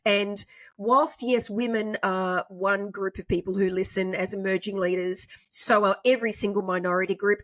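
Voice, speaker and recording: Halting woman podcast host. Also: mid-range voice, fairly bright tone. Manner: halting, repeats words while forming questions. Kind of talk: interview questions.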